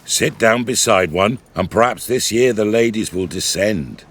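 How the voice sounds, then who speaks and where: A man's voice: on the low side, a little slow. A man playing a devout, firm old peasant guarding a gate in a video game, with folksy rustic speech. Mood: inviting, devout. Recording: faint music behind the voice.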